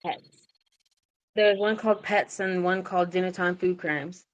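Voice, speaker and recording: A woman with a somewhat low voice hosts a podcast in a casual, chatty way. She is informal and giggly as she talks about her writing. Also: fairly even intonation, normal speed, poor audio. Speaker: podcast host and story writer. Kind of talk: casual chat.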